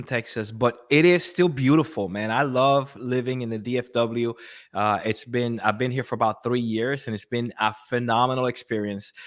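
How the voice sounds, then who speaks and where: A man from Puerto Rico with a medium-pitched voice speaks as an interview guest. His delivery is casual and conversational, like someone telling their story to a friend. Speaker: podcast guest, real estate agent and pastor.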